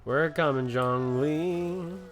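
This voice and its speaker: Male gamer reacting casually, very slow drawn-out delivery, exclamatory and slangy, faint music behind. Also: fairly high pitch. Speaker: gamer reacting while playing. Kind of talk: casual gameplay commentary.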